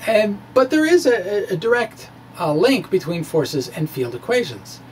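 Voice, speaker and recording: A middle-aged man explaining physics informally to camera in a halting, conversational way with animated emphasis. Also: sits high. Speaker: science video blogger. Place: small indoor room with bookshelves. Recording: clear steady background noise.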